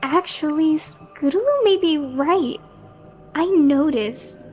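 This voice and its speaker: A woman voicing a timid, unsure young pony filly in a horror audio drama, soft and hesitant. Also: sits high, lively intonation, slow, soft background music. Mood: hesitant, puzzled.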